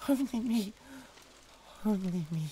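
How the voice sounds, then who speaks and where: A man playing a possessive, flustered demon with a British accent and a breathy voice, halting and repeating himself in a flirtatious audio roleplay. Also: sits high, lively intonation, mellow, heavily acted. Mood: desperate, pleading. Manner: halting, repeating words with wounded pride. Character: jealous, possessive male demon, flustered and pleading.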